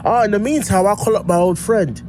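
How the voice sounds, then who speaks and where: A man's voice: sits high, fairly quick, natural voice. A man playing a frank, casual field operative in an audio drama. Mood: frank, concerned. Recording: clear background noise and ambience.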